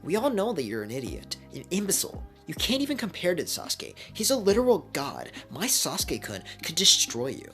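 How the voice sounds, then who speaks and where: A man putting on a shrill lovestruck teenage girl, high and indignant, her scorn for the rival loud and hot. Faint music runs behind. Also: huge pitch swings, a little fast, hardly any pauses.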